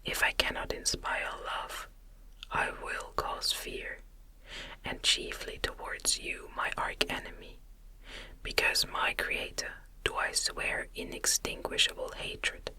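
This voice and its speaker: Female reader as miserable, vengeful male monster of gothic audiobook: slow, formal, bright whisper. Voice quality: breathy unvoiced whisper.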